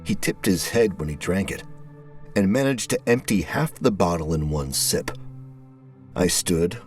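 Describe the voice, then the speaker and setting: A man narrating a scripted first-person story in a brooding, terse, deliberate manner. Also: sits low, lively intonation, darkish tone. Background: background music.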